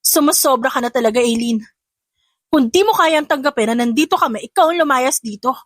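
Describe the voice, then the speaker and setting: A woman's voice: high voice, brisk, dry recording, studio quality. A woman voicing a scheming young stepsister, defiant and cutting with restrained spite, in an audio story. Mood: restrained anger.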